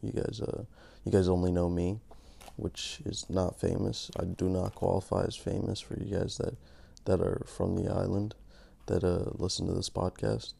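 A man hosting his podcast in a casual, rambling monologue, gravelly from just waking up, joking at his own expense. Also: low voice, little pitch movement, moderate pace, warm tone. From Canada. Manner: rambling, self-mocking, many restarts. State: just woke up. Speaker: podcast host.

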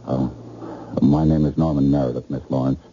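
A man's voice: rumbling low, level tone, brisk, dark tone. An actor playing an earnest, haunted, obsessed man in old-time radio drama, hesitant and stammering with intensity. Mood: hesitant, fixated. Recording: muffled, noisy old radio recording.

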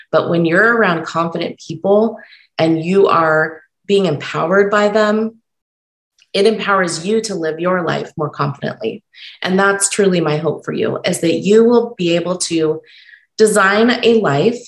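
Croaky-voiced woman hosting a podcast. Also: fairly low pitch, moderate pace, roomy sound, poor audio. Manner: earnest, encouraging, stumbles over words. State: croaky voice from being sick. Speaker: podcast host. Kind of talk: solo podcast monologue, unscripted.

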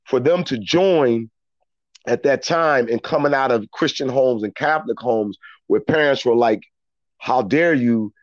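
A middle-aged American man telling reflective personal stories as an interview guest, in a halting way, often repeating words as he thinks. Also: medium pitch, no echo, highs cut off. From Philadelphia, United States. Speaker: interview guest, actor and storyteller. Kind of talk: reflective personal storytelling in conversation.

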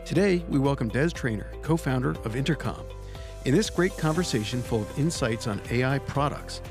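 A man reading a scripted podcast introduction as an announcer. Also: mid-range voice. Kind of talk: scripted narration. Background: music.

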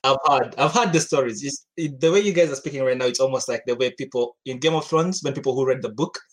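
A man's voice: medium pitch, fast talker. A young man from Uganda, a studio co-founder, chatting casually on a podcast with many restarts and easy laughs.

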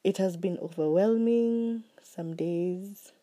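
A woman hosting her own podcast, talking slowly and earnestly about what she is grateful for, her voice still recovering from being sick. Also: medium pitch. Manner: slow, heartfelt and earnest, with soft trailing phrases. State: recovering voice after illness. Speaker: podcast host. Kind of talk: reflective solo podcast monologue.

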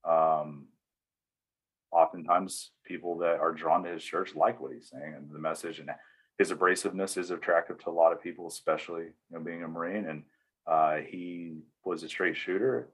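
A man, speaking plainly as an interview guest, with frequent pauses and fillers. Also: sits low, fairly even intonation, fairly bright tone, husky. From East Tennessee, US. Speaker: interview guest, church security veteran. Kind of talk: casual storytelling interview answers.

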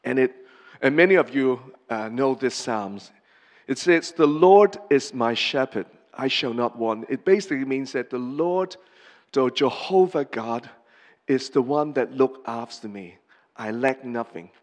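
A middle-aged pastor, a man from Hong Kong, giving a personal testimony sermon in an earnest, halting way with many pauses and restarts. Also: mid-range voice. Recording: long reverb of a large hall.

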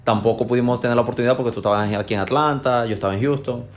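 A man's voice: medium pitch, fairly even intonation, rapid-fire, faint music behind. A man from Venezuela with a Venezuelan accent, casually recounting his experience as an interviewed client. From Maracaibo, Venezuela. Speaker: tattoo client being interviewed.

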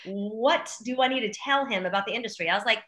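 A middle-aged woman chatting as an interview guest, an animated, expressive storyteller, recorded in an echoey room at home. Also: a little high, fast. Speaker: podcast guest, real estate agent and former news anchor. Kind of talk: casual interview chat. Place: room in a new house.

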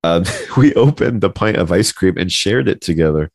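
A man with a very low voice talks casually as a podcast co-host. His delivery is informal and chummy, like speaking to old friends. The sound is dry and free of room echo. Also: animated, normal speed, vocal fry. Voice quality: deep voice. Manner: informal, uses "bro" and "man". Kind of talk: casual chat.

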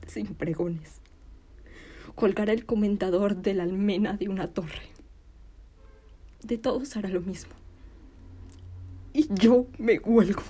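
Woman acting a breathy, wronged young village woman. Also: medium pitch, warm tone. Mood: furious, defiant. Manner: slow, declamatory, anguished. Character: wronged, defiant young village woman rebuking cowardly men. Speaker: drama student actor. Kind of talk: classical Spanish verse monologue, theatrical delivery.

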